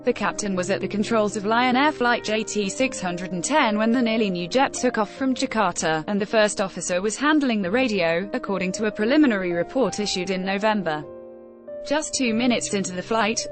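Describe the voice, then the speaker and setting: Female news narrator for scripted article read, medium pitch, background music.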